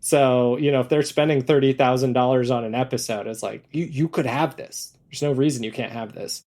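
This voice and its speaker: A man talking casually as an interview guest, telling stories and quoting thoughts in an animated way. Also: mid-range voice, brisk. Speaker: interview guest, audio drama creator.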